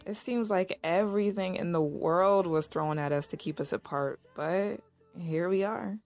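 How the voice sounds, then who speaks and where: A woman's voice: lowish voice, measured pace, darkish tone, soft background music. An actress playing a young married woman in an audio drama, narrating and talking in a wry, conversational way. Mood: earnest. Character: Iris, weary young married woman narrating her own story. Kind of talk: first-person audio drama narration and dialogue.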